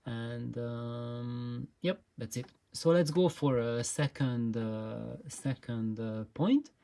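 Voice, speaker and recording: A host giving an informal explainer talk in a halting, hesitant way, with frequent pauses and repeated words. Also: mid-range voice, vocal fry, mellow. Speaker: host of an audio gear channel.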